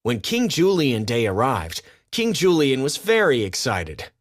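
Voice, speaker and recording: A man narrates a children's storybook. His delivery is very slow, with highly animated intonation and a medium pitch. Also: no echo, studio quality.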